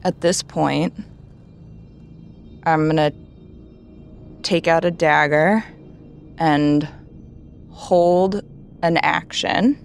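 A woman casually role-playing as a player in a tabletop game, haltingly thinking aloud as she describes her actions. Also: deep voice, fairly even intonation, vocal fry, soft background music.

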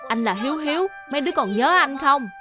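Excitable, bright-voiced young schoolboy. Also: female voice, sits high, fast talker. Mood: earnest. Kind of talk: dubbed children's cartoon acting. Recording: music behind the voice.

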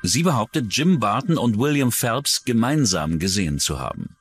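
A man's voice: lowish voice, very animated, normal speed, breathy edge, soft background music. A man reading scripted narration for a true-crime documentary.

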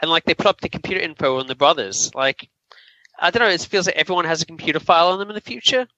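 Male podcast host, opinionated and informal, high-ish and strikingly bright, close dry sound. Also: quick pace, dry recording, lo-fi sound. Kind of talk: casual film review chat.

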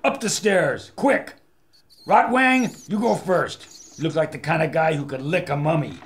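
A man's voice: on the high side, measured pace. An actor playing a brash man of action in a pulp radio adventure, punchy, slangy and commanding, with a wisecracking streak. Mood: brisk, wry.

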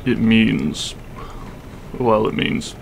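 A man playing a grumpy but secretly caring half-dragon roommate in an audio roleplay, gruff, weary and blunt. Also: on the low side, expressive, extremely slow, slight background noise, heavily acted. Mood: gruffly concerned. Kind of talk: audio roleplay acting, spoken to the listener.

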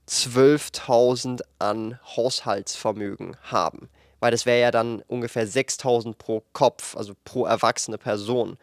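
A man's voice: medium pitch, no echo. Young man, dry handheld-mic commentary. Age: 20s. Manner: animated, frank, thinks aloud with frequent fillers and restarts. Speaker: finance YouTuber. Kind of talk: casual explanatory commentary to camera. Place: indoor studio room with shelves of collectibles. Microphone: handheld microphone.

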